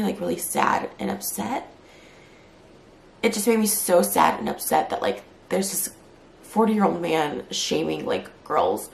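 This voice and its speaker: A woman in her thirties, short on sleep and hurt, talking fast in a response vlog and filling her speech with "like". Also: medium pitch, vocal fry, roomy sound. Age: 30s. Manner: tired, hurt and fast-talking, with many "like" fillers. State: short on sleep, near tears later. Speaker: YouTuber.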